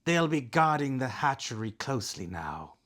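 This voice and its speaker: A man's voice: mid-range voice, very animated, normal speed, a bit bright, voice pushed up. A proud, bitter she-dragon acted out by a man snarls and snaps through a bedtime storybook. Her delivery is contemptuous and commanding, lifted a little above the reader's ordinary speaking voice. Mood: scornful. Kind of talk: bedtime storybook read-aloud.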